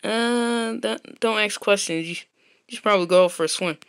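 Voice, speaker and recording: A man's voice: high voice, normal speed, fairly bright tone, smooth, dry recording, clean studio sound. A man voicing a stern, short-tempered adoptive mother in a game roleplay, blunt and threatening. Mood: gruff.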